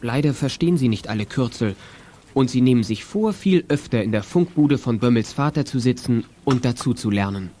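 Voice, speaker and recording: A man narrating a children's detective radio play in a measured, articulate storytelling manner. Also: mid-range voice, animated, dark tone, lightly acted. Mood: composed narration.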